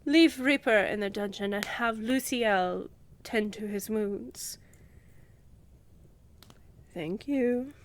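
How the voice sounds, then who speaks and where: A woman playing a poised vampire countess in a fantasy audio drama, measured and regal, condescendingly affectionate, with a breathy voice that often drops to a whisper. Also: mid-range voice, natural voice. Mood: pensive, courteous. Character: poised, commanding young vampire countess, coolly indulgent.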